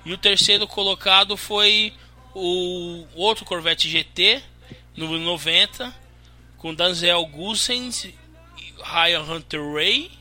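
A man's voice: high voice, takes it slowly, sharp tone, soft background music. A Brazilian man co-hosting a motorsport show, listing race results and bantering casually. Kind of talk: casual podcast chat.

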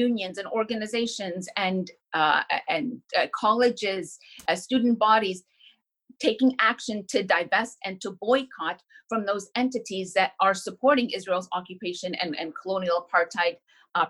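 A woman's voice: mid-range voice, normal speed, fairly bright tone. A woman being interviewed as an activist, answering in an impassioned way with fillers and restarts. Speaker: guest activist.

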